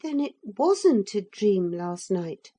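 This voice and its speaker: Woman doing a young boy, high voice, anxious, pleading, storybook, husky tone. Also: very animated, slow pace, mellow, exaggerated voice. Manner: eager, desperate questioning. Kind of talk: storybook reading.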